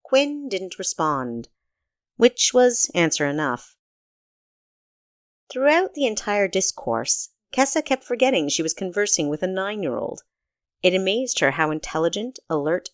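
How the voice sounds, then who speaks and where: A woman reading a story aloud as narrator in an expressive, scripted storytelling manner. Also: on the low side, measured pace, no room sound, clean studio sound. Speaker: author narrating own audiobook.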